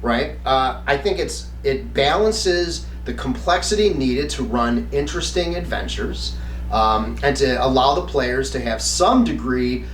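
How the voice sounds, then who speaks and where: A man's voice: medium pitch, animated, measured pace. A middle-aged man hosting a review in an earnest, emphatic way, speaking to camera in a semi-scripted style. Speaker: host, tabletop game reviewer. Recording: roomy, distant mic, faint background noise.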